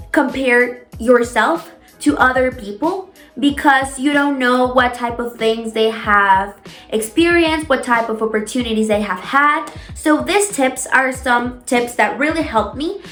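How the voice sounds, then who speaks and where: A young woman whose native language is Spanish, talking casually as a vlogger giving tips, stressing points with drawn-out words and repetition. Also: high voice, fairly bright tone. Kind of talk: casual talk to camera. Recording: echoey room.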